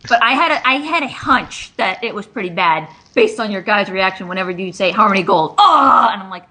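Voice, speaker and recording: Girl podcast cohost, bright voice thats all up and down, just chatting. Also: mid-range voice, expressive, a little fast. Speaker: co-host of a fan commentary. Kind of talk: casual group chat.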